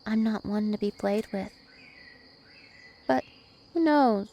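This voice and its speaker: A woman's voice: mid-range voice, slow, creaky, warm tone, slight background noise. A woman voicing a dominant, taunting, ancient vampire princess in an ASMR roleplay, with haughty, teasing menace. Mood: smug, possessive. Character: two-thousand-year-old vampire princess, female, dominant, taunting, imperious.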